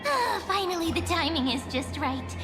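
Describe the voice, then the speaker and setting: Playful, very high-pitched sprite girl. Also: big pitch swings, moderate pace, music in the background. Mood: playful, urgent. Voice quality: girlish, very high voice. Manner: playful, chirpy, affectionate. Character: cute, mysterious, playful female memory sprite companion. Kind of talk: anime game voice acting.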